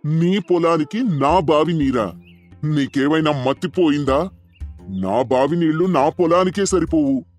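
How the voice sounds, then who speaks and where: A man voicing an arrogant, greedy rich village landlord in a storybook tale, haughty, loud and scornful. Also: fairly high pitch, animated, a little slow, faint music behind. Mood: angry scorn.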